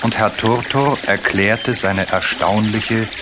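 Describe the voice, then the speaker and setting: A man's voice: lowish voice, steady tone, slow, background noise. A man reading scripted storybook narration as the narrator of a children's radio play.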